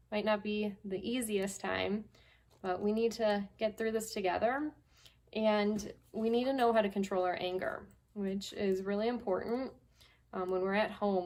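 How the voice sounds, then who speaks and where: Female storyteller for a children's read-aloud, mid-range voice, simple and deliberate phrasing. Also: mid-range voice, darkish tone. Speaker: guest storyteller, school staff member.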